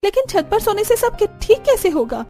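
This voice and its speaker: A woman's voice: very high voice, big pitch swings, brisk, mellow, soft background music, voice pushed up, heavily acted. A woman voicing a young village daughter-in-law in a cartoon, complaining in a dramatic, exasperated way. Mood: pleading, defiant.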